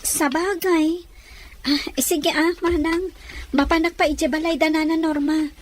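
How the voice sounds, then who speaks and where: A woman's voice: extremely high pitch, fairly even intonation, measured pace, slight background noise. An actress playing a caring young woman, a helpful neighbour, in a Filipino radio drama. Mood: earnest, troubled.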